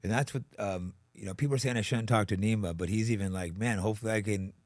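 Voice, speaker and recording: Casual low-voiced man hosting a podcast. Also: fast, no room sound, clean studio sound. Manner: informal, slangy, swearing. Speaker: podcast host. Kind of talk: casual interview chat.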